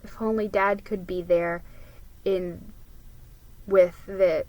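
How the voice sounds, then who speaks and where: A woman's voice: medium pitch, steady tone, takes it slowly, a little mellow, low-quality recording. A woman giving a dramatic reading of a children's story, as a storybook reader.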